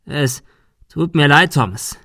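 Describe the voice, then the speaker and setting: A man's voice: fairly high pitch, expressive, slow pace, bright, forceful tone, dry recording, studio quality, exaggerated voice. A man playing a frightened, desperate young man who stammers in agitation, in an audiobook. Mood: guilty, desperate.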